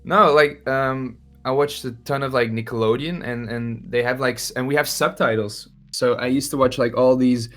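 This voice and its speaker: A man's voice: mid-range voice, steady tone, moderate pace, hardly any pauses, a bit bright, soft background music. Hesitant young Belgian man. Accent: Belgian Dutch-speaking. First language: Dutch. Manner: hesitant, many fillers and restarts. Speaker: musician guest. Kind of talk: casual podcast interview.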